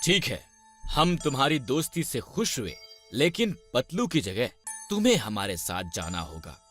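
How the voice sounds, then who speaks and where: Animated, courtly celestial assistant. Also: male voice, medium pitch, a little slow. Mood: formal. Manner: formal, courtly. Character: fussy, deferential male celestial assistant to death god. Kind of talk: theatrical cartoon acting. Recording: loud background music.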